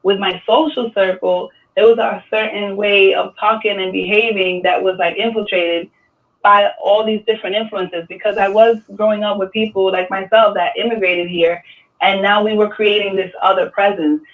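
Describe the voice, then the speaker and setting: A man in his thirties with a New York accent speaks in a relaxed, reflective tone, his voice sitting high. He sounds thin and phone-like, set back from the microphone. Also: normal speed. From Dominican Republic, raised in New York City. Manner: relaxed, reflective, many fillers. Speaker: artist. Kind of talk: casual panel discussion. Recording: tinny, distant phone-like sound.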